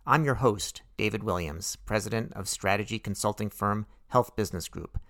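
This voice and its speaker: A man's voice: lowish voice, moderate pace, fairly bright tone. A man hosting a business podcast, reading an intro and then interviewing in a friendly, joking way. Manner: friendly, joking, some restarts. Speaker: podcast host. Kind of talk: casual interview with scripted intro.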